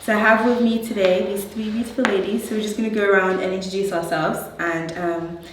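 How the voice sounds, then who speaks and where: A woman's voice: mid-range voice, fairly even intonation, a little fast. A woman with a British accent, from Nigeria and raised in the UK, hosting a group discussion. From born in Nigeria, grew up in the UK. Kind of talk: panel chat, asking questions. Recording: echoey room, distant mic, faint noise.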